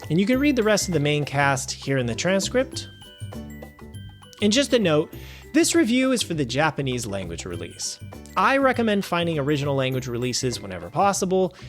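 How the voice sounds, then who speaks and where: A man hosting a film review show, reading a scripted review with wry, animated asides. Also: a little high. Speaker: film review podcast host.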